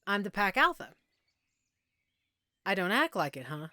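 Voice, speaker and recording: A woman playing a middle-aged, self-assured werewolf pack alpha in an intimate audio roleplay, explaining things conversationally with measured pauses. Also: mid-range voice, very animated, pushed and bright, no echo. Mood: calm, frank.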